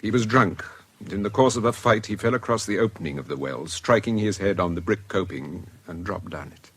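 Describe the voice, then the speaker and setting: Guarded, husky wary man. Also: lowish voice, lively intonation, a little fast, a bit bright, heavily acted. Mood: guarded, dry. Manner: terse, careful, dry. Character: guarded, wary man quietly parrying an investigator's account. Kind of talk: British radio drama acting.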